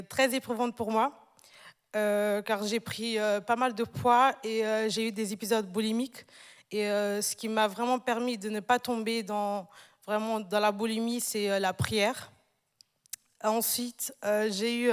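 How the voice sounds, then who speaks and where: A woman in her twenties from Portugal giving a personal church testimony on stage, hesitant, with many pauses and fillers. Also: a little high, smooth, big-room sound. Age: 20s. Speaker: newly baptized church member. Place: large church hall.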